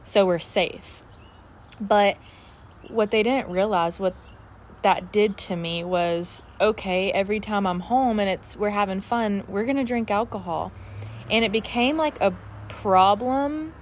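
A woman's voice: medium pitch, a little slow. Young woman, twenty-one, candid casual podcast chat, outdoor backyard recording with faint noise. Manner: chatty and candid, many fillers like "like" and "uh". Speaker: podcast host.

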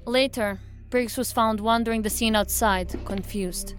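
High-pitched, serious female agent played by an actress. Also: fairly even intonation, soft background music, lightly acted. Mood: serious, puzzled. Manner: measured, matter-of-fact. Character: serious, formal female federal agent giving reports. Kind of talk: audio drama acting.

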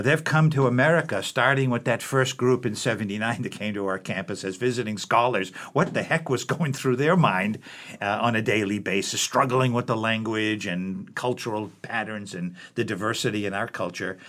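An older man, a professor and book editor, speaking in a relaxed interview with many fillers and restarts. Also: fairly low pitch, a little fast. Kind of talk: conversational interview.

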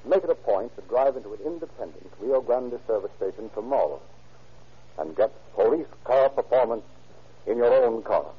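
A man's voice: medium pitch, animated, moderate pace, a little hiss or hum. A man working as a radio announcer, delivering formal, declamatory sponsor copy in 1930s style. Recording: thin phone-like old radio recording.

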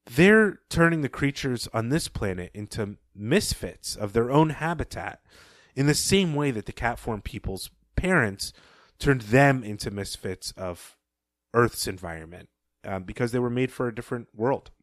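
A man's voice: medium pitch, expressive, moderate pace, no room sound. A man hosting a podcast, recapping a short story in a thoughtful, explanatory way with occasional asides. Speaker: podcast host.

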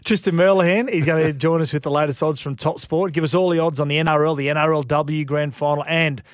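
A man's voice: a little high, steady tone, fast, no echo, clean studio sound. A man co-hosting a sports radio show in casual, teasing banter. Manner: chatty, laughs easily, teasing. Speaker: radio co-host.

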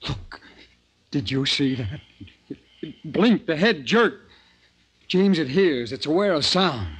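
An actor playing an obsessed, defiant scientist in a 1950s radio drama, intense and halting. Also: male voice, fairly high pitch, sing-song, breathy edge, exaggerated voice. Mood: feverish wonder. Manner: intense, halting, broken phrases. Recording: noisy 1950s radio broadcast recording.

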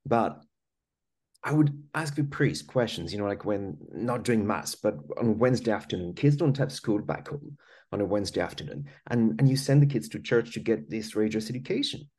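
A man's voice: on the low side, lively intonation, brisk, darkish tone, slightly rough. French-accented man telling his story. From France. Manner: earnest, many small restarts and "you know". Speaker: podcast guest. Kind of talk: conversational storytelling.

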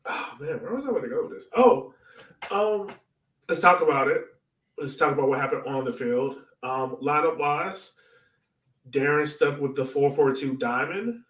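A man's voice: mid-range voice, a bit bright. Echoey, slightly distant male podcast host. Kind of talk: casual fan chat about soccer. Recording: roomy echo, distant from mic.

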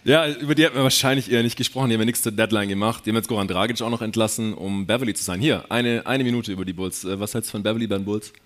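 A man's voice: fairly low pitch, very fast, few pauses. A man hosting a live sports podcast, chatting in a colloquial, jokey way. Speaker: podcast host. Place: live stage show.

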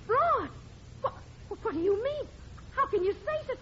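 A woman's voice: extremely high pitch, big pitch swings, measured pace, bright, forceful tone, exaggerated voice. An actress playing a stubborn, indignant housewife and mother in 1940s radio comedy, speaking emphatically. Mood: shocked, indignant. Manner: emphatic, exclaiming. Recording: muffled, noisy old radio recording.

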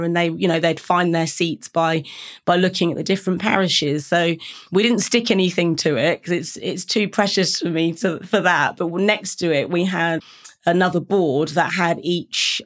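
A woman from Reading with a British English accent, speaking reflectively as a podcast guest, often restarting her phrases. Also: on the low side. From England, Reading. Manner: warm, reflective, with frequent restarts and 'you know'. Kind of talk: conversational podcast interview.

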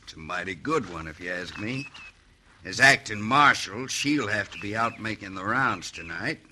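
Sharp, very bright cowboy outlaw boss. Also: male voice, lowish voice, expressive, moderate pace. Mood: sly scheming. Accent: American Western drawl. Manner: taunting, folksy menace. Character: Jocko Lemming, cocky, murderous male outlaw gang boss. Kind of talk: old-time radio western villain acting. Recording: faint noise, old radio recording.

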